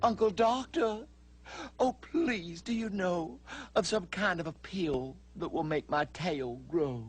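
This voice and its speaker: A man voicing a vain, jealous, excitable young female bird in a children's storybook, in a shrill, dramatic way. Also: slow pace. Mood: pleading, grateful. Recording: music behind.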